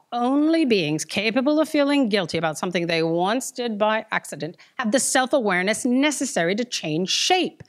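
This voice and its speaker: An actress as an impatient woman boss in a staged audio drama. She is commanding and prickly, with clipped orders and a mid-pitched voice that swings animatedly. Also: lightly acted. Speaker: stage actor. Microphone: stand microphone on stage.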